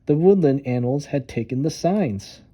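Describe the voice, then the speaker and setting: A man reads a storybook aloud for children. His voice is very dark and mellow, and he leans on key words for dramatic effect. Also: mid-range voice, animated, normal speed. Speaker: storytime reader.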